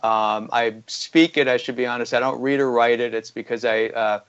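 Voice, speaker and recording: A man from Iran, a guest analyst in an interview, speaking in a measured way with many fillers. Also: medium pitch, steady tone, a bit bright, smooth, dull audio. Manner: measured, filler-heavy and articulate. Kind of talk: conversational interview.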